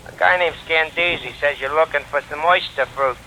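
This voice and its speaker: An actor playing a brusque, slangy crook in a 1940s radio drama, curt and menacing. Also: male voice, fairly high pitch, a little fast, natural voice. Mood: curt, threatening. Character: brusque, slangy underworld crook making ransom demands. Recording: hissy old 1940s radio recording.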